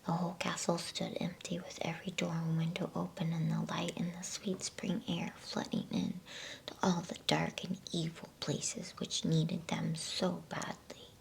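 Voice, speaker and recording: A woman narrates a storybook in ASMR style. Her voice is whispered and lowish, with a crackly edge, and she takes her time over each phrase. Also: level tone, creaky. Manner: soft, hushed, unhurried. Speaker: ASMR bedtime story reader.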